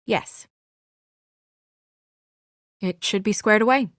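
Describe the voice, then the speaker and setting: A young female office assistant from a noir game, acted by a woman, brisk and guarded. Her voice sits at a medium pitch with crisp, no-nonsense, understated delivery. The recording is close and dry, free of room sound. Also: no room sound, natural voice. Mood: professional, polite. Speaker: video game voice actor. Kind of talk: naturalistic video game drama acting.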